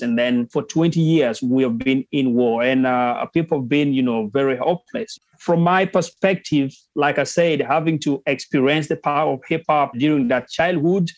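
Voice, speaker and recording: A man's voice: on the high side, no room sound. A man with a Ugandan accent, a guest answering interview questions in an earnest way with frequent fillers. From northern Uganda. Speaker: guest, hip hop artist and activist.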